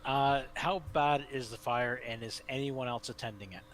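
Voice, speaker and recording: A man's voice: medium pitch, normal speed, fairly bright tone, slight background noise, low-quality recording. A man talking casually as a player in a tabletop game.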